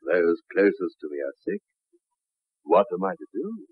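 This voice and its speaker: An actor playing a scheming, outwardly helpful husband in a 1960s radio drama, with a raspy voice. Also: male voice, deep voice, wildly swooping pitch, moderate pace, no echo, full character voice. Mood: soothing, brisk. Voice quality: gravelly, raspy. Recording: muffled narrow-band old radio recording.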